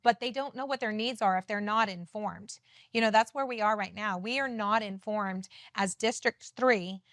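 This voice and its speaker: Loud, emphatic woman candidate. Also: mid-range voice, normal speed, dry recording, studio quality. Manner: emphatic, earnest campaign talk. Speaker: city council candidate. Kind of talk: TV studio interview.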